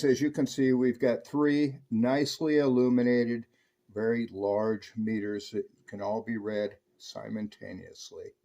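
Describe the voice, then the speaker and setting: A man's voice: medium pitch, mellow. Man narrating a technical gear review. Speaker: host of a radio equipment review channel.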